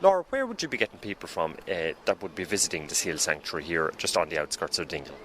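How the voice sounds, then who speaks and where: Male presenter, low and animated, enthusiastic interviewer. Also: fast talker, slight background noise. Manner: enthusiastic, rambling prompts. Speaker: radio documentary presenter. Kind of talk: travelogue interviewing and narration.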